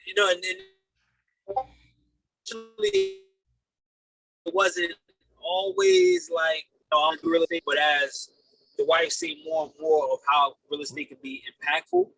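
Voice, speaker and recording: A man's voice: high voice, big pitch swings, measured pace, vocal fry, long pauses, pushed and bright, no echo, low-quality recording. A man in his late twenties giving casual, earnest interview answers, rambling and repeating his phrases as he goes. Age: late 20s. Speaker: podcast guest, real estate investor.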